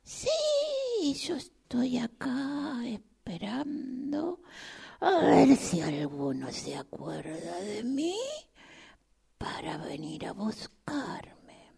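A woman voicing a lonely old male tortoise in improvised radio theatre, with a Rioplatense Spanish accent and a halting, plaintive, breathy delivery. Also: fairly high pitch, sing-song, warm tone, full character voice. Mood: wistful, sly. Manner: halting, plaintive, rambling, breathy drops into near-whisper.

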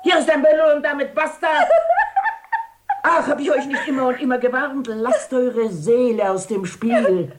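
An actress playing an imperious older brothel madam in a radio drama, haughty, mocking and declamatory. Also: female voice, sits high, moderate pace, natural voice. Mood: scornful scolding. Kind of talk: theatrical German radio drama acting.

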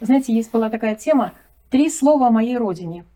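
Mellow-voiced woman, slow hesitant radio talk. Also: fairly high pitch, expressive. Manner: hesitant, many fillers, slow thoughtful phrasing. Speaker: guest presenting a student project. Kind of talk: radio discussion, reading and retelling texts.

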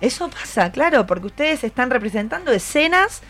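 Argentine lady radio host, highish voice, encouraging, lots of questions, bit of music behind. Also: big pitch swings, brisk, fairly bright tone. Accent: Argentine, Rioplatense. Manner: encouraging, asks many questions. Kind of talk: conversational radio interview.

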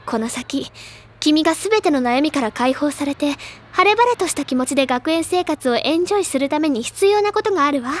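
A woman playing an excitable, self-assured high-school literature-club girl in an audio drama, speaking in a dramatic, lecturing way. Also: fairly quick. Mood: eager, persuasive. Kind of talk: anime-style audio drama acting.

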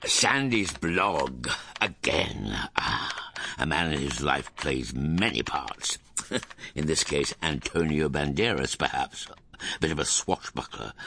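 An actor playing a fussy, bumbling, self-important older grandfather in a radio comedy drama, with a gravelly, husky voice and a hesitant, self-justifying manner. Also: extremely low pitch, sharp tone, a little hiss or hum, heavily acted. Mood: grandly pleased. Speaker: actor, lead and narrator.

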